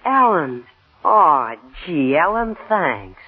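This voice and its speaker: An eager, boyish teenager in an old radio drama. His voice sits fairly high and swoops with feeling, stumbling shyly over words. The sound is narrow and muffled, like a 1940s broadcast. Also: big pitch swings, slow pace. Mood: excited, grateful. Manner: boyish, gushing, hesitant stammer. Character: eager, shy teenage boy recovering in a wheelchair.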